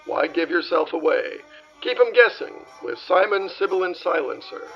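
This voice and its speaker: A man doing a gravelly old-time radio ad announcer. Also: fairly high pitch, very animated, normal speed, muffled recording, faint music behind. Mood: salesy. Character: fast-talking man announcing radio ads. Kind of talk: 1940s radio acting.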